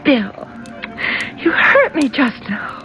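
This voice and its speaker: A defiant young heiress in a radio thriller. Her voice is fairly high, emotional and scornful. Faint music plays underneath. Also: wildly swooping pitch, slow, highs cut off, full character voice. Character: defiant, lovestruck runaway daughter of a powerful man. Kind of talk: radio drama acting.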